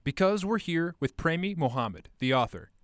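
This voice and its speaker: A man's voice: on the high side, sing-song, normal speed, dry recording, clean studio sound. A man hosting a podcast, interviewing a guest in a casual, conversational style. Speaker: podcast host interviewing an author.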